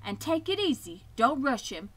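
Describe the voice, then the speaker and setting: A woman's voice: sits high, very animated, moderate pace, voice pushed up. A woman voicing an easygoing male farmer in a children's storybook, calling out simple instructions and coaxing. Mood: commanding. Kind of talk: animated children's storybook reading.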